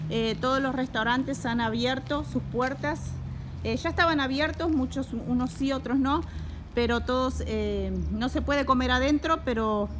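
A Uruguayan woman vlogging casually outdoors, chatting and repeating herself as she walks with her phone. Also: on the high side. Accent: Uruguayan Spanish. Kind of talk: casual walking vlog. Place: outdoors on a town street. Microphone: phone camera held while walking. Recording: street noise behind.

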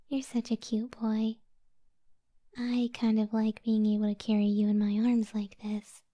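A woman's voice: on the high side, level tone, measured pace, long pauses, no room sound. Woman as whispering, doting yandere moth girlfriend. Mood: doting, possessive. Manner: soft breathy whispering, sweet and cooing. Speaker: ASMR roleplay performer. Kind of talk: whispered ASMR roleplay. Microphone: close microphone.

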